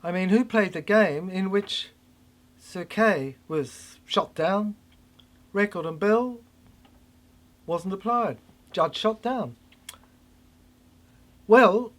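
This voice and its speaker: Slow, halting speaker with dramatic swings. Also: mid-range voice, animated. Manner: halting, fragmented, emphatic repeated phrases. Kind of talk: rambling cryptic monologue.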